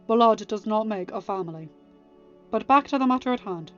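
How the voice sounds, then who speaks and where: A woman's voice: medium pitch, lively intonation, normal speed, a bit bright, highs cut off, lightly acted. Young storybook king read by a woman, firm, authoritative, formal, over faint music. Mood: stern, firm. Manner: firm, formal, hard-edged. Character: stern, commanding young king chairing a trial.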